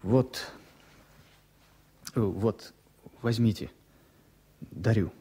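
An actor playing a fussy, superstitious former pilot as a passenger, hesitant yet insistent, in a 1970s Soviet radio play. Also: male voice, lowish voice, drawn-out words, mellow, slightly rough, heavily acted. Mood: insistent, wistful. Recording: faintly noisy vintage radio recording.

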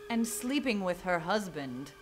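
A woman's voice: lowish voice, animated, measured pace, soft background music. An actress playing a sly, sassy young woman who was an inside spy in a campy comedy. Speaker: actor.

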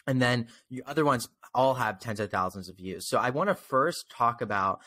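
A man's voice: medium pitch, animated, moderate pace, sharp tone. A young man interviewing a guest casually as a podcast host, speaking close to a desk microphone on a video call from home. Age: young adult.

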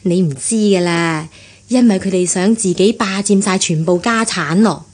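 A woman's voice: lowish voice, normal speed. An actress playing a chatty female servant gossiping about her mistress in a radio drama, with a Hong Kong Cantonese accent. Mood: gossipy. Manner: gossipy, explanatory. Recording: husky-sounding radio rebroadcast, faint music.

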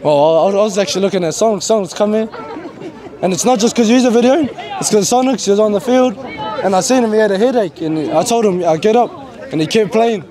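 A teenage rugby captain talking outdoors into a handheld mic, rambling and laid-back with slang. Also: male voice, high-pitched, quick pace. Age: teens. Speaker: team captain. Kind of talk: post-game interview. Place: outdoor sports field. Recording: crowd noise outdoors.

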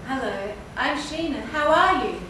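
A woman playing a nervous, friendly job seeker in a stage play, with careful, deliberate lines. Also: high-pitched, expressive. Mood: earnest, friendly. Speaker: stage actor. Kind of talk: live amateur stage play dialogue. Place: stage of a theatre with audience. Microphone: far camera. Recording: echoey theatre from far off, noisy.

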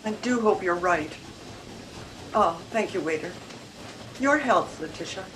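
A woman's voice: lowish voice, expressive, a little slow, a bit bright, low-quality recording. An older woman acting a troubled, independent-minded married lady of the Edwardian era in a radio mystery, with formal, careful diction. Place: room at home, video call. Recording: video call with background noise.

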